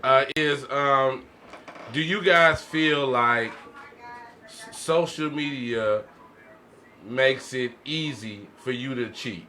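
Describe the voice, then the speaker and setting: A man's voice: medium pitch, slow, pauses often, pushed and bright. A man hosting a podcast in casual, slangy chat, often repeating little tag phrases. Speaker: podcast host. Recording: noisy room ambience.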